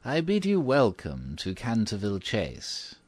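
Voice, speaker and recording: A man voicing a quaint old woman housekeeper in an audiobook, speaking in a low, grave, mysterious, old-fashioned manner. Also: huge pitch swings, a little slow, dry recording, exaggerated voice. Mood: quaint, welcoming. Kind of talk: audiobook character voice.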